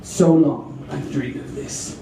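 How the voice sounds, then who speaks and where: A man's voice: mid-range voice, steady tone, takes it slowly, creaky, a crowd in the background. A man voicing a yearning, breathless woman, the heroine of a romance novel read aloud. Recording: echoey room from far off, audience noise.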